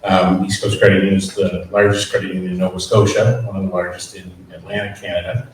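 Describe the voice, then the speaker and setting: A man's voice: low voice, level tone, fast. A man, a credit union executive, speaking on a panel in an easygoing, lightly joking way with frequent uhs. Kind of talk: panel discussion. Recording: echoey room, distant mic, faint noise.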